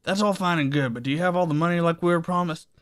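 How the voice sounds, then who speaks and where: A man playing a wary, gravelly crook selling meat in a horror comedy audio drama. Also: on the high side, fast talker, a bit bright, close to an everyday voice. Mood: wary, scheming. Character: wary gangster meat dealer Benny, older brother's sidekick.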